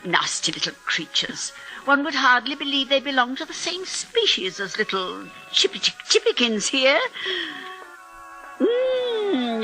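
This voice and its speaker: A fussy older mother-in-law in an old 1950s radio drama. Her high voice is bright and animated, swooping between scolding and cooing. She sounds opinionated and theatrical. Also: full character voice, poor audio.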